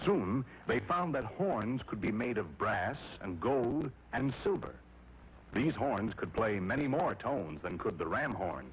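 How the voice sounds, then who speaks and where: Animated male storyteller, dull vintage recording. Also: medium pitch. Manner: theatrical, addressing young listeners directly. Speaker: storyteller narrator. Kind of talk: scripted children's story narration, 1960 cartoon. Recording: dull, noisy vintage cartoon soundtrack.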